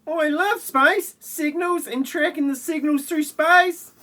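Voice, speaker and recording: A woman's voice: high-pitched, slow, bright, forceful tone, heavily acted. A woman playing a space-obsessed young girl in an amateur spoof audio play. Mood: earnest.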